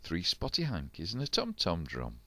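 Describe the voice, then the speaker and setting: An older man reading a rhyming picture book aloud to his grandchildren in an animated, rhythmic way. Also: sits low, moderate pace, no echo.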